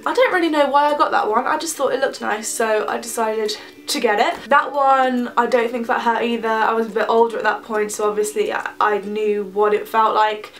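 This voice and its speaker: A woman's voice: fairly high pitch, steady tone, normal speed, far from the mic. A young woman with a stuffy, nasal voice from a cold, chatting casually to camera as a vlogger, rambling with lots of ums. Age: young adult.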